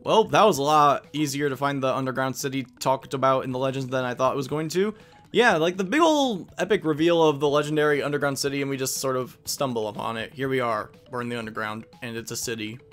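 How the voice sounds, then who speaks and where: A man's voice: medium pitch, fast talker, a bit bright, faint music behind. A man hosting a let's play with casual, joking game commentary.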